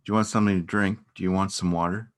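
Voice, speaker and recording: Man acting deep-voiced, anxious, caring partner. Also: fairly even intonation, racing, natural voice. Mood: anxious, insistent. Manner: earnest, questioning, repetitive. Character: anxious, caring man pressing his ill partner for answers. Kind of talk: dramatic reading of stage dialogue.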